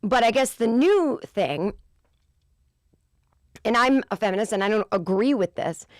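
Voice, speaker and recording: A woman in her forties hosting a podcast, with a low voice, ranting in an exasperated, profane way in a casual comedic monologue. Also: animated, a little slow, no room sound, studio quality. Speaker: podcast host.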